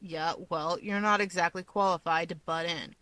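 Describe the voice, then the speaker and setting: A woman voicing a guarded, irritable young man with a deadpan, sarcastic delivery. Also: fairly low pitch, moderate pace, no room sound. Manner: deadpan, snarky.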